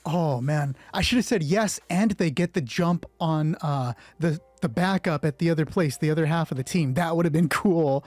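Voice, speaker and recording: A man with a slightly high voice runs a solo tabletop roleplay as its game master. He shifts between clipped, scripted narration and loose, informal play commentary. The sound is dry and close, with music underneath. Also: normal speed, few pauses, darkish tone, dry recording. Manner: informal, swearing, thinking aloud during play. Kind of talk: hushed scripted story narration alternating with casual game commentary.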